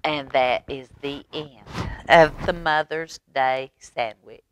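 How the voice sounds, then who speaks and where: A woman with a Southern American accent telling a children's story in an expressive, folksy way, with little asides. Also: a bass voice, slight background noise, rough recording. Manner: expressive, folksy, unhurried, dramatic whispers and asides. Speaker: library story time host.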